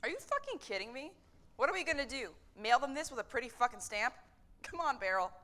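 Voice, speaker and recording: Woman acting blunt fed-up striking worker, bright forceful voice, kinda high. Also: very animated, brisk, exaggerated voice. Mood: exasperated. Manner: sarcastic, exasperated, swearing. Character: blunt, worried female factory worker in revolt.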